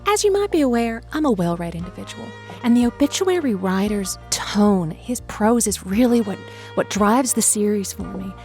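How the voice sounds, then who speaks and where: A woman's voice: mid-range voice, animated, moderate pace, few pauses, a little mellow, faint music behind, lightly acted. An actress playing a quirky, chatty woman who hosts a lonely-hearts radio show, wordy and playfully self-deprecating. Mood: chirpy, playful. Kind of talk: scripted fiction podcast, conversational radio-host patter.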